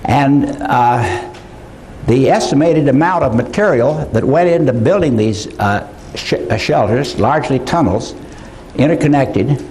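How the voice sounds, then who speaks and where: An older man giving a conference lecture, halting, with many fillers and restarts. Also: mid-range voice, lively intonation, darkish tone, slight background noise, poor audio. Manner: slow, halting delivery with frequent fillers. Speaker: conference speaker. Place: conference hall.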